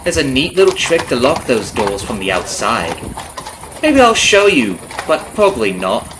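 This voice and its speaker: A man's voice: mid-range voice, sing-song, normal speed, background noise, lightly acted. A man playing a vengeful carriage driver in an ASMR roleplay, taunting and conversational, with a friendly surface over cold menace. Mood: quietly menacing. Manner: taunting, conversational with rhetorical tag questions. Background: clip-clopping horse and carriage ambience.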